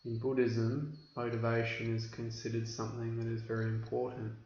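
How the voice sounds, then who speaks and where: A man teaching guided meditation in a measured, instructional way with deliberate pauses. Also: mid-range voice, completely flat delivery, darkish tone. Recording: roomy, dull recording with background hiss.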